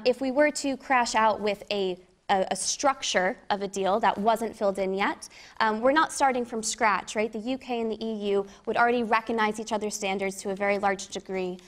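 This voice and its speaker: A woman arguing as a panelist in a debate, articulate and assertive. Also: medium pitch.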